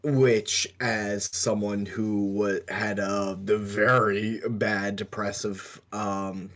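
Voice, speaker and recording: A young man podcast co-host talking casually and personally, in a halting, hesitant way. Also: fairly low pitch, level tone, a bit bright. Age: young adult.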